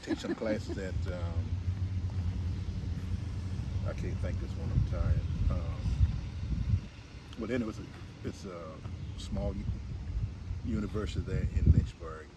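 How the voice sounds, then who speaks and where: Elderly male interviewee, very low register, halting rambling storyteller; off-mic recording with heavy ambient noise. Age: 70s or older. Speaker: interviewee, retired pastor and college teacher. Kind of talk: conversational reminiscence. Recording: distant mic, loud ambient rumbling noise.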